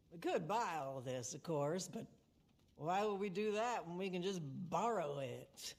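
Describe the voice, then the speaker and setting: A woman's voice: on the low side, heavily acted. An actress playing a shrewd, commanding older woman running moonshine, with an American Southern accent and a dry, folksy, plainspoken manner in a game cutscene. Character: tough, vengeful older female moonshine boss, shrewd and commanding. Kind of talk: cinematic game dialogue.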